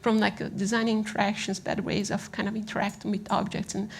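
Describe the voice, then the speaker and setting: A woman from Brazil with a Brazilian accent giving an informal conference presentation in a halting, conversational manner, often checking in with the listeners. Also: mid-range voice, level tone, gravelly, a little hiss or hum. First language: Portuguese. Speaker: conference speaker on design.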